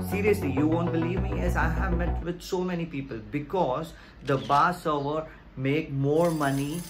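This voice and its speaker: A man, explaining things to viewers in an emphatic, repetitive, direct way. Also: a little high. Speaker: video host giving career advice. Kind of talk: explainer vlog to camera. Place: small plain room indoors. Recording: music behind the voice.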